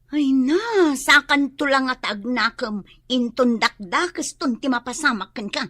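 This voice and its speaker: A woman's voice: high voice, lively intonation, a little slow, bright, forceful tone, no echo, clean studio sound, full character voice. An actress in an Ilocano comedy radio drama playing a wife who scolds her husband for drinking.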